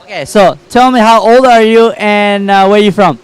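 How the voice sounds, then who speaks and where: High, smooth-voiced interviewer. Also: male voice, moderate pace, poor audio. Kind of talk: athlete interview.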